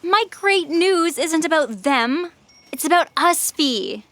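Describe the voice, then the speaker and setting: A woman's voice: high-pitched, animated, slow pace, fairly bright tone, a little hiss or hum, full character voice. A woman voicing a gleefully callous, sarcastic self-destruct program in an audio drama, snide and flippant.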